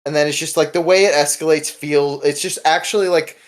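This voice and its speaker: A man's voice: fairly high pitch, steady tone, a little fast. Casual young man podcasting, swears freely. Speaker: podcast host. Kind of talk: casual comic discussion.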